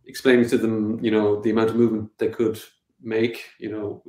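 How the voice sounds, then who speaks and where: A man's voice: lowish voice, level tone, a little fast, a little mellow. Irish guy on a video call, keeps restarting, native irish speaker, sounds kinda roomy. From Connemara, west of Ireland. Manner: hesitant, many fillers and restarts. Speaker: film director. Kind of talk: casual interview answers. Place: home room, seated on a sofa. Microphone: video call webcam. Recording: echoey room.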